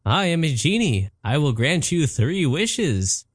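A man voicing a smug slow-talking genie. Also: a little high, very animated, husky, dry recording, clean studio sound, voice pushed up, heavily acted. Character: smug male genie in a soda bottle.

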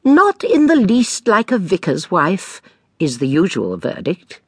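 A shrewd old English lady detective for a radio play. Her voice is on the low side, with a husky edge and lively intonation. She is measured and precise, quietly probing as she narrates and questions. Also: no room sound, studio quality, heavily acted. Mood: wry, measured. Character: shrewd elderly amateur sleuth Jane, calmly inquisitive narrator. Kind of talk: dramatised audiobook acting and narration.

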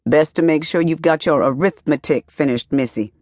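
A woman's voice: sits low, normal speed, a little mellow, no echo, studio quality. A woman voicing a stern, no-nonsense mother with a curt, scolding manner in an audiobook. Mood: irritated.

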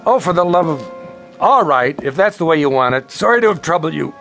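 A man's voice: fairly high pitch, lively intonation, fairly quick, creaky, soft background music. A man playing an exhausted traveling scholar in a radio drama, intense and dramatic. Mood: indignant. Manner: urgent pleading, hushed when narrating. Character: desperate, exhausted male traveler and scholar, recalling his ordeal.

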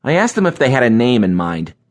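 A man's voice: medium pitch, big pitch swings, fairly quick. A young American man playing a sardonic, exasperated programming geek in a first-person audiobook. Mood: sarcastic, irritated. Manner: snarky, exasperated, emphatic. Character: sardonic young male programming geek who hates zombies.

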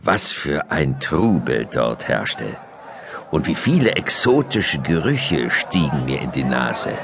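Elderly painter, very deep, husky, lively melody, fond reminiscing, children's audiobook. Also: male voice, slow, heavy background noise, exaggerated voice. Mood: fond, admiring. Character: elderly Dutch painter fondly recalling his youth.

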